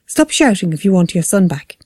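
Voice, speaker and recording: A woman's voice: fairly low pitch, very animated, a little fast, warm tone, husky, dry recording, clean studio sound. A woman voicing a menacing male kidnapper in an audiobook, curt, controlled and threatening. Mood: commanding. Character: menacing male kidnapper, cold and commanding, Spanish-sounding. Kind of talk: audiobook character acting.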